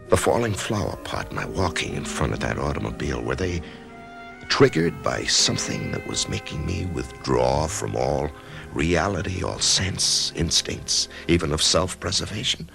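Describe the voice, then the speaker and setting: A troubled, death-haunted office man tells his tale in a radio drama. His voice is extremely deep and gravelly, yet it rises and falls a lot. A music score plays behind him. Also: heavily acted. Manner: hesitant, brooding, stammering. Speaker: narrator-protagonist. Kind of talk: 1990s radio drama, first-person narration.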